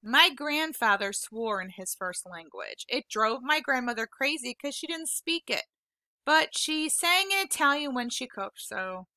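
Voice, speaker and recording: A woman reading the part of a calm, blunt woman who lives alone, speaking plainly and matter-of-factly. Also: high-pitched, measured pace, dry recording, full character voice. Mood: gentle. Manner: plain, matter-of-fact, dry.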